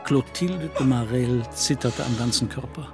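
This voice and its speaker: A man as composed storyteller, mid-pitched male voice, literary narration, radio drama, clear music bed. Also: moderate pace, close to an everyday voice.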